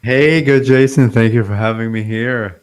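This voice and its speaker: Brief: man, forties, born in Lebanon, halting speech with a stutter, remote guest. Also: medium pitch, steady tone, smooth. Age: 40s. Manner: halting, many fillers and restarts, stutters. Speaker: guest channeler. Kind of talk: casual interview talk.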